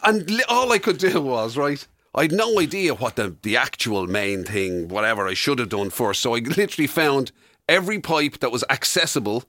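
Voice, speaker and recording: A man's voice: medium pitch, expressive, normal speed, sharp tone, dry recording, studio quality. An Irish man co-hosting a radio show with casual, comic banter.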